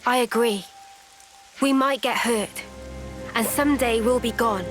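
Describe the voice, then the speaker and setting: A woman's voice: a little high, unhurried, natural voice. A woman voicing a cheerful, curious young woman in a video game, asking frank questions. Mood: earnest. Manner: bright, inquisitive, frank. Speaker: game character Sena. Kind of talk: video game cutscene dialogue. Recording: game cutscene with music behind. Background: game music.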